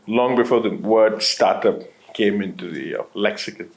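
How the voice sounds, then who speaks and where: Low-voiced man rambling through an interview. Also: moderate pace, poor audio. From Qatar. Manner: rambling, many restarts and fillers, laughs easily. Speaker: entrepreneur guest. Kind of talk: casual interview conversation.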